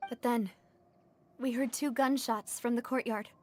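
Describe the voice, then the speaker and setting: High-voiced determined young noblewoman. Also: steady tone, a little slow, darkish tone. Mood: somber, reflective. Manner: earnest, articulate, emotive. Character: young noblewoman, determined, grieving daughter seeking truth. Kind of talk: video game voice acting. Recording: background music under dialogue.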